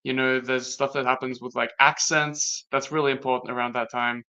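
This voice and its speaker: A young man from Latvia whose first language is Latvian, talking through personal experiences in a casual conversation, reflective and halting with many restarts. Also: medium pitch, level tone, sharp tone, clean tone. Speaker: guest. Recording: dull lo-fi sound.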